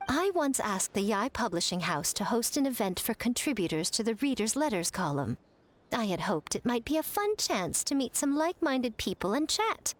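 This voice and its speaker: A woman's voice: fairly high pitch, very animated, normal speed. A woman voicing a poised, sly and teasing adult shrine priestess in a video game.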